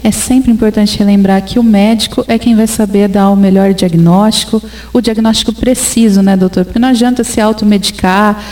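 Woman, interviewer, conversational, mellow tone. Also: medium pitch, steady tone, fairly quick, hardly any pauses, rough recording. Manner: conversational, prompting with tag questions. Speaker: interviewer host. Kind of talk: interviewing, reading listener questions.

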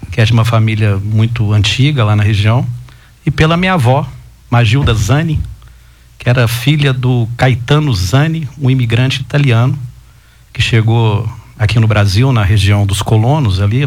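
A man, a guest on a talk show, chatting casually and telling his family story with lively rising emphases. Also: medium pitch. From Goiás, Brazil. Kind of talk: casual chat.

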